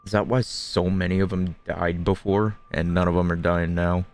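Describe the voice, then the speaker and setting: A man's voice: sits low, little pitch movement, normal speed, soft background music. A man giving offhand, wry, casual commentary as he plays a video game. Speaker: streamer playing a video game.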